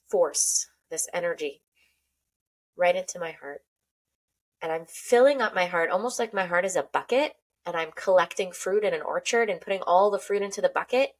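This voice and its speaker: A woman with a fairly low voice leads a guided breathing meditation. She speaks slowly and softly, narrating each breath in the first person as though she's doing it alongside the listener. Her tone stays calm and unhurried throughout.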